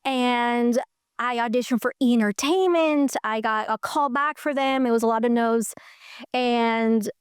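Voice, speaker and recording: A middle-aged woman from Colombia with a fairly high, clear, smooth voice. She tells her story in an animated, enthusiastic way. The sound is dry and close. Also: unhurried, no echo. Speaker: guest. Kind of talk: conversational interview storytelling.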